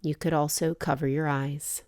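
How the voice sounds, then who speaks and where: A woman leading a guided relaxation meditation as a yoga nidra teacher, speaking in a soothing, gentle, measured way with pauses. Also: sits low, level tone.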